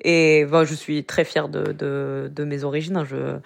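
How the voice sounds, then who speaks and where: A woman's voice: low voice, flat delivery, measured pace, gravelly. A young woman from Limousin with a Limousin accent, speaking as a radio interview guest, hesitant and full of fillers and restarts. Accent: Limousin French. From Limousin, France. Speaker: guest, granddaughter of a massacre survivor.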